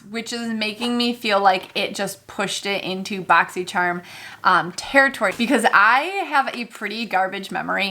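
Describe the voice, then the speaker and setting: A woman chatting casually while unboxing beauty products, excitable and thinking aloud, her voice going hoarse. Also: medium pitch. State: losing her voice. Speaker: beauty vlogger.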